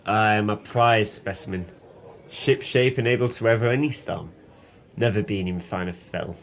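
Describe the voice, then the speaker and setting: A low-pitched, merry drunken seaman played by a male actor in an audio drama. He speaks in grand, flowery phrases and boasts heartily, like a penniless man delighted with himself. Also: moderate pace, lightly acted. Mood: merry, grandiose. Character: jovial, tipsy, impoverished former sailor, florid and boastful. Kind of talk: audio play acting.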